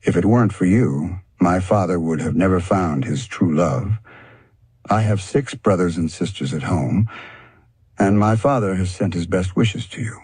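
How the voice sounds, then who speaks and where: A man's voice: deep voice, moderate pace, darkish tone, husky, dry recording. A man voicing a grateful, earnest young male hound in a storybook.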